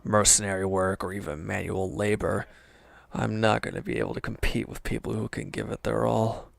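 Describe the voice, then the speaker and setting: A man acts out a wounded, defeated villain in an intimate audio drama. His voice is fairly low and full of fry, recorded close and dry. He speaks haltingly and sullenly, guarded and despondent, often sinking toward a whisper. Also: flat delivery, dry recording. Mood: despondent, weary. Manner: sullen, halting, sighing, dropping into near-whispers. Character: wounded, defeated male villain henchman, bitter, defensive, despondent.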